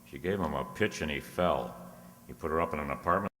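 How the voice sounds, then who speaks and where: Very low male voice, actor as shrewd private eye, wry, deducing, radio mystery, reverberant hall. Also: a little fast, a bit bright. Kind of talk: detective radio play acting.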